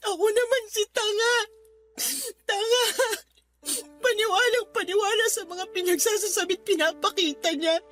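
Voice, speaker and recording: A woman's voice: very high voice, fairly even intonation, moderate pace, a bit bright. A woman with a Filipino accent playing a hurt, anxious wife and mother in a radio drama, tearful and plaintive. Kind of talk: Tagalog radio drama acting.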